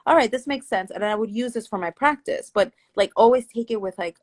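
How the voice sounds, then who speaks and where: A woman's voice: fairly high pitch, quick pace. A woman therapist whose first language is not English, talking through her thoughts in a reflective, searching way on a casual live stream. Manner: reflective, thinking aloud with many "like" fillers and restarts. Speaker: therapist guest.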